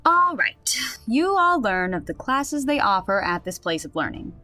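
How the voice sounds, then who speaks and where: A woman's voice: mid-range voice, very animated, a little slow, pushed and bright, no echo, faint music behind. A woman playing a wry game master who explains and narrates a comedic tabletop game in an audio drama. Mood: narrating, patient.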